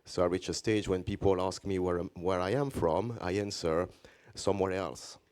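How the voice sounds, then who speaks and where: A Belgian French man with a very low voice gives a conference talk in English. His delivery is measured and deliberate, with regular pauses between phrases. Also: fairly even intonation, darkish tone. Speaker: conference speaker, journalist. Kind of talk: conference presentation.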